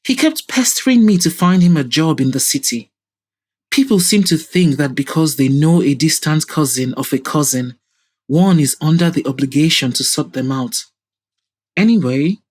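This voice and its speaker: A woman's voice: low-pitched, darkish tone, breathy edge. A woman playing an arrogant middle-aged businessman in an audio drama, pompous, mocking and self-righteous. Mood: dismissive, smug. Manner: pompous, scornful.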